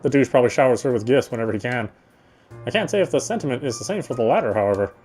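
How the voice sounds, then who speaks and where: A man streaming a game, chatting casually and reading its story aloud, often halting and correcting himself. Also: medium pitch, animated, a little mellow. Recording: faint game music behind.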